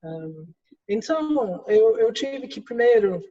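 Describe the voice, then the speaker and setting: A woman's voice: on the high side, animated, slow, warm tone. A middle-aged woman from England with an English accent, speaking a second language in an interview and answering with many restarts and fillers. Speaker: guest executive. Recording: distant, roomy, dull sound.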